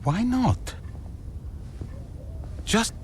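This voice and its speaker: An actor playing an anxious racing team colleague, hesitant and pleading, in a dramatic game cutscene. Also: male voice, very high voice, expressive, extremely slow, a little hiss or hum, heavily acted. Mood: coaxing.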